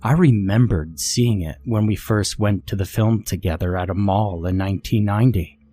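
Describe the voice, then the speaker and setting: Man, low-pitched, very dark mellow tone, measured horror story narration. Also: dry recording. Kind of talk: scripted first-person story narration.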